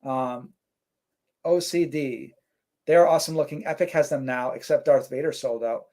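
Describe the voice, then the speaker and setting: A man's voice: medium pitch, normal speed. A man co-hosting a casual live stream, reading out chat comments and rambling with lots of fillers. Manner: relaxed, rambling, many fillers.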